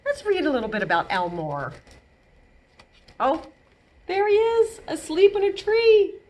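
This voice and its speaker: Animated woman reading a picture book aloud. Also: very high voice, measured pace. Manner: animated, explains words and pictures simply. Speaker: children's librarian reading at storytime. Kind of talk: expressive picture-book read-aloud with asides to young children.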